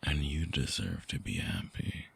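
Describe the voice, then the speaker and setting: Man voicing a very deep, gravelly vampire ex-lover. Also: big pitch swings, exaggerated voice. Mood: tender, regretful. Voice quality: gravelly, husky, breathy. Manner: slow, halting, sighing, hushed confiding. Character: brooding male vampire ex-lover, tender, wounded, obsessive. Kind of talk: intimate scripted ASMR roleplay audio drama. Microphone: close binaural mic, dry sound.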